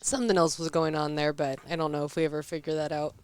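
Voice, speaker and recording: A woman with a New Jersey accent co-hosting a casual podcast, chiming in with wry, quick asides. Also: sits low, flat delivery, clean tone, dry recording. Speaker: podcast co-host.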